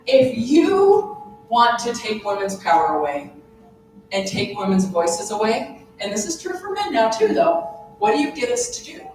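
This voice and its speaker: A woman's voice: on the high side, very animated, measured pace, noisy background. A woman in her fifties, a psychologist giving a conference presentation in a conversational, personal way and asking the audience questions. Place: large conference room. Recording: echoey room, voice from far off.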